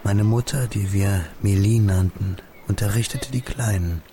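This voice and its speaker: A man acting as a measured, reflective narrator recalling his boyhood in a literary radio drama, with a creaky voice. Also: on the low side, warm tone, natural voice.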